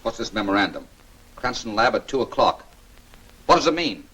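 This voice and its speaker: Casting: shrewd, composed sleuth, lowish lively voice, articulate and commanding, distant vintage serial soundtrack. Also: male voice, normal speed. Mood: grave, assured. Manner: articulate, authoritative, takes charge. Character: shrewd, composed gentleman sleuth-scientist, secret crime fighter. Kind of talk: 1940s film serial acting. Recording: noisy, distant 1940s film soundtrack.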